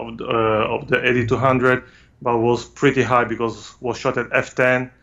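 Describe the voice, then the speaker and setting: A man from Italy with an Italian accent, talking about his photography in a hesitant way with many pauses, over a video call. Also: medium pitch, a bit bright, muffled recording. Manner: hesitant, frequent "uh" pauses, non-native phrasing. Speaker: guest photographer. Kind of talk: remote interview, casual explanation. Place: home studio.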